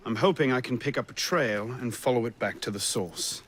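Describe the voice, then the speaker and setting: Actor as a dry, resolute young assassin. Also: male voice, medium pitch, brisk, a bit bright, close to an everyday voice. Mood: serious, resolute. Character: determined young male assassin, dry and brooding. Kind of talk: video game dramatic acting.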